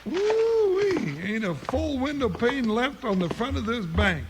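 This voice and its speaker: An actor playing an excitable, blustery comic sidekick with a rural American accent and a gravelly voice, folksy and exclaiming, in a 1950s radio western. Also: male voice, very high-pitched, unhurried, heavy background noise, exaggerated voice. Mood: astonished.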